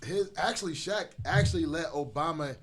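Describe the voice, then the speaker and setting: A man's voice: fairly high pitch, moderate pace, husky. A young man, a guest, telling stories in a casual chat. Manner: animated storyteller.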